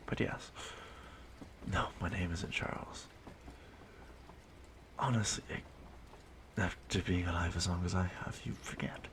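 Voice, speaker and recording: A man playing an ancient immortal stalker in an audio roleplay, softly menacing yet courteous and wistful, musing haltingly in a gravelly murmur that often drops to a whisper. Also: low-pitched, slight background noise. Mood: nostalgic, musing. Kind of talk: slow intimate audio roleplay monologue.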